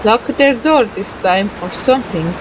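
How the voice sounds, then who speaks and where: A woman doing let's play commentary, reading game dialogue aloud haltingly and adding muttered asides. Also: mid-range voice, animated, noisy background.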